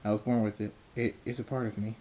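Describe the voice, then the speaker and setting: A man voicing a calm, mysterious male pegasus demon who speaks politely and softly. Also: fairly low pitch, fast, slight background noise, natural voice. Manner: calm, polite, soft-spoken.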